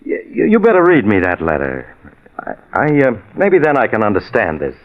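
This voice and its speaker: An actor playing a concerned, puzzled doctor, stammering a little in 1940s radio drama style. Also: male voice, fairly low pitch, wildly swooping pitch, normal speed. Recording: dull lo-fi old radio recording.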